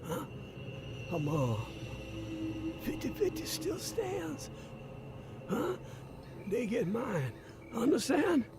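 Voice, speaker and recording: An actor playing a battered, dying male survivor in a video game, weak and short of breath, pleading bitterly in halting, breathy speech that breaks into whispers. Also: extremely high pitch, wildly swooping pitch, exaggerated voice. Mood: desperate, pleading. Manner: halting, labored, swearing, pleading. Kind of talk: dramatic video game acting. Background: music.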